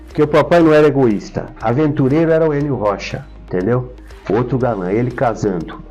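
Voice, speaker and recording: A Brazilian man with a mid-pitched voice reminisces as an interview guest. He tells stories emphatically, checking in with his listener, in a dull lo-fi recording with faint music behind. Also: brisk, dark tone. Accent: Brazilian Portuguese. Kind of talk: conversational storytelling and reminiscence. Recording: dull, lo-fi sound.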